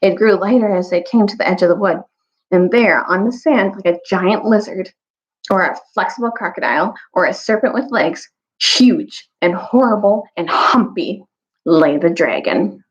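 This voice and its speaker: A woman storyteller giving an expressive read-aloud of a children's fantasy novel. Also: medium pitch, moderate pace. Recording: dull, lo-fi audio.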